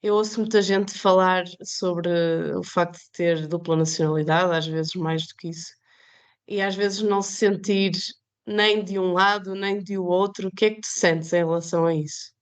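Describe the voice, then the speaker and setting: Smooth, lower-voiced woman podcast interviewer. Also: steady tone, moderate pace. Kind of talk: conversational interviewing.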